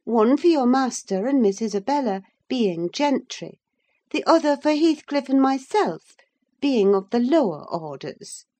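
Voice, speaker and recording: A woman reading a story in the part of an excitable, imperious young gentlewoman with a gushing, theatrical manner. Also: sits high, normal speed, no room sound, pitched above the performer's own voice. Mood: playful, imperious. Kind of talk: dramatised audiobook reading.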